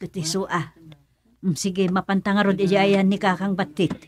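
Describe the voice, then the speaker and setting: Filipino village woman played by an actress, medium pitch. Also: level tone, moderate pace, lightly acted. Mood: exasperated. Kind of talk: Ilocano radio comedy drama acting.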